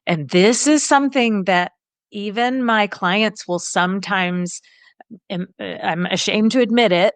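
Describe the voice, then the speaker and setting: A woman's voice: on the low side, no room sound. An American woman around her fifties hosting a conversational podcast interview in an attentive, affirming way. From Boston, New England, United States. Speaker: podcast host, therapist.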